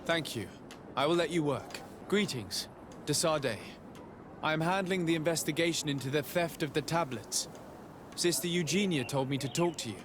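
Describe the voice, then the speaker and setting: An actor playing a polite, composed noble envoy in a video game, formal, courteous and inquiring. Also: male voice, fairly high pitch, normal speed, noisy background, close to an everyday voice. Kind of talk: video game cutscene acting.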